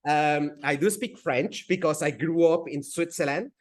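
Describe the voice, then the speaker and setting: A man in his forties with a Spanish accent talks through his story in a halting, conversational way, often restarting a phrase before going on. His voice sits a little higher than most men's. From Peru. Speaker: guest entrepreneur. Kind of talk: conversational interview.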